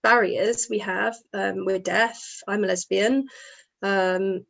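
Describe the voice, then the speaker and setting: Woman, interpreter voice, earnest, measured, mid-range pitch. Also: fairly even intonation, vocal fry, a bit bright.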